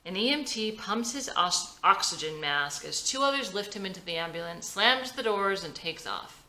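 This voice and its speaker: Woman narrator, scripted screenplay reading, animated, forceful bright tone, roomy recording. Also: medium pitch, normal speed. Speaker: script reader and writer. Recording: roomy, echoey sound.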